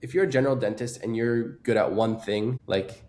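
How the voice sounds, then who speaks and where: A man's voice: on the low side, normal speed, darkish tone. A man in his early twenties, a dental student guest, talking earnestly and a bit hesitantly. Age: 20s. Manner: earnest, hesitant, many "like" fillers. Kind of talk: casual podcast conversation.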